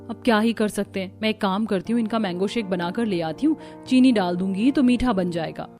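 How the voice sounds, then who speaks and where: A woman narrating and voicing characters in a cartoon story, expressive and conversational. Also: mid-range voice, fairly quick, soft background music. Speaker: narrator and voice actor.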